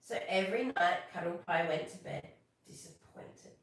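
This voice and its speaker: An Australian woman hosting a live stream, moving between casual chat and expressive storybook reading. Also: on the low side, moderate pace. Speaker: live stream host reading bedtime stories. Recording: echoey room, distant from mic.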